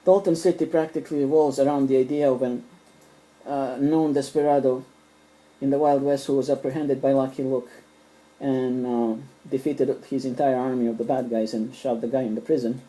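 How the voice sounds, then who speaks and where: A man's voice: medium pitch, steady tone, normal speed, warm tone, low-quality recording. A middle-aged man whose first language is Serbian, casually showing his comic collection at home, rambling with fillers and restarts. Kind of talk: casual collector show-and-tell. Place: small room at home.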